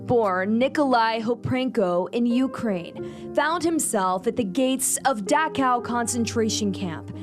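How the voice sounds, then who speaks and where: A woman reporter reading scripted news feature narration in a solemn, dramatic, measured way. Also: fairly high pitch, expressive. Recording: music bed under voice-over. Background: instrumental music.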